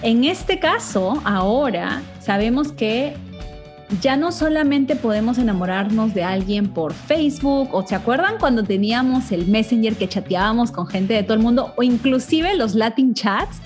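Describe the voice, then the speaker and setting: A woman's voice: fairly high pitch, lively intonation, moderate pace, a little mellow, faint music behind. A woman in her thirties from Peru, hosting a chatty, gossipy podcast conversation. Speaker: podcast host. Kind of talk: casual chat.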